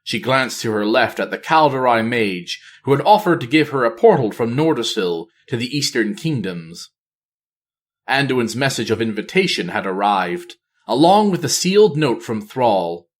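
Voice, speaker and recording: A man reading a fantasy novel aloud as scripted narration, in a measured, theatrical manner. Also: medium pitch, very animated. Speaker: audiobook reader.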